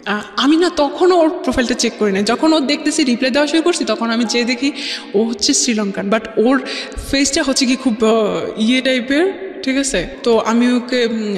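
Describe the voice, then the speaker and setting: A young adult woman with a Bangladeshi accent tells a personal story conversationally, mixing in English words. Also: fairly high pitch. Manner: mixes English words into her talk. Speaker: guest telling her life story. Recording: roomy reverberant studio, music underneath.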